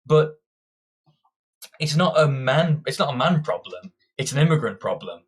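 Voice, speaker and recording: A man's voice: medium pitch, big pitch swings, moderate pace, breathy edge. A young man giving an opinionated political monologue, combative and ranting, often restarting his sentences. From Britain. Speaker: political commentator.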